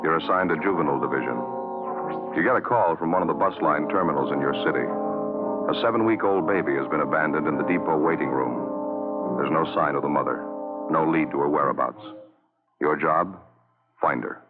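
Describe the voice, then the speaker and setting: A man's voice: very deep voice, brisk, pauses often. A man with an American accent reading a terse, solemn scripted introduction to a radio drama. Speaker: announcer. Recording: tinny old radio, music behind. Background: loud music.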